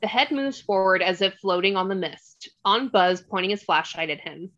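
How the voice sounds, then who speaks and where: A woman's voice: medium pitch, normal speed, a bit bright, highs cut off. A woman narrating the stage directions of a screenplay in a scripted read. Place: remote online table read.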